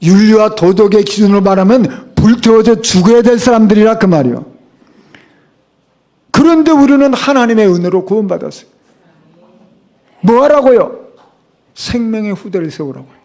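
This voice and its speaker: A man's voice: high voice, expressive, moderate pace, long pauses, a little mellow. A middle-aged man preaching a sermon as a pastor, speaking emphatically and asking the congregation rhetorical questions. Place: church sanctuary.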